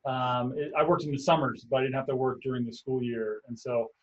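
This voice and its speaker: A man professor from Montana talks loosely over a video call, hesitating often. His pitch is middling. The audio is dull and lo-fi. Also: fairly quick. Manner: relaxed, hesitant, fillers. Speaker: engineering professor.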